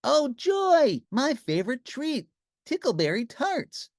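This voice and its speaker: A reader acts out a young storybook princess, lovelorn and dramatic. The voice is raised and lively, gushing sweetly and then bossily insisting. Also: high-pitched, slow pace, dry recording, clean studio sound, voice pushed up, exaggerated voice. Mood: delighted. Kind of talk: children's storybook character voice.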